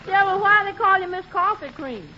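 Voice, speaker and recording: A woman's voice: very high-pitched, lively intonation, fairly quick. A woman playing a jolly, sassy, folksy housemaid in a 1940s radio comedy. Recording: muffled, noisy radio recording, studio audience.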